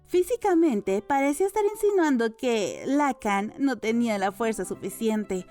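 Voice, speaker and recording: A woman's voice: fairly high pitch, expressive, moderate pace, dark tone, soft background music. A woman narrating a novel as a scripted audiobook.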